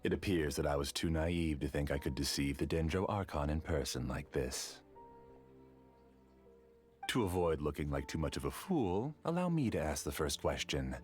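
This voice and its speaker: Casting: a deep-voiced, courteous, obsessive scholar; lively, varied delivery; video game. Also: male voice, soft background music. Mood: calm confession. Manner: courteous, measured, quietly intense. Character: obsessive scholarly researcher, polite and calculating. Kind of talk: game cutscene dialogue.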